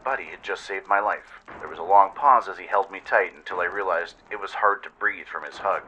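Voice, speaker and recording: A man's voice: low-pitched, sharp tone, a little hiss or hum, low-quality recording. A man narrating a scripted dramatic first-person story in radio-play style, with an intense, theatrical delivery.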